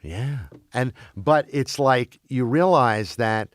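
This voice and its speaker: A man's voice: medium pitch, takes it slowly, no echo, clean studio sound. A man who grew up in Belgium, talking as a guest in a casual conversation, often stopping to restart and repeat his words. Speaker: interview guest.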